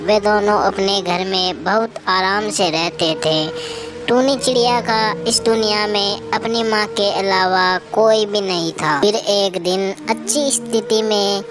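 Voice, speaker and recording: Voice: medium pitch, fairly even intonation, takes it slowly. A narrator reading a scripted children's story as a storyteller. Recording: music behind the voice.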